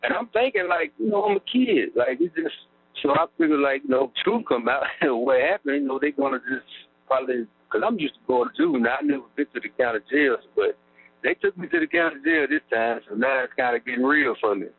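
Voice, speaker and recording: A man's voice: medium pitch, sing-song, fast, slightly rough. A middle-aged man telling his story on a phone call from prison, rambling with lots of fillers. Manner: rambling, lots of fillers, laughs wryly at times. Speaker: incarcerated interviewee. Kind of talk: casual storytelling about his past. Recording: tinny prison phone line with hum.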